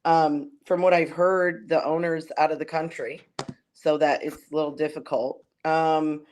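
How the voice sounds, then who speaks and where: Female trade guest, hoarse, half-gone voice, informal chat in a casual panel discussion. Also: sits low, fairly even intonation, measured pace, a little mellow, a little hiss or hum. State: losing her voice, hoarse. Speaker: guest, comics distributor executive.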